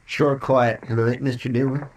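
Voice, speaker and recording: Anxious deputy, male actor: medium pitch, folksy and fretful, slightly noisy vintage radio sound. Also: level tone, moderate pace, lightly acted. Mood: nervous, pleading. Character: loyal, anxious, folksy deputy of a frontier marshal. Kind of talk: old-time radio western acting.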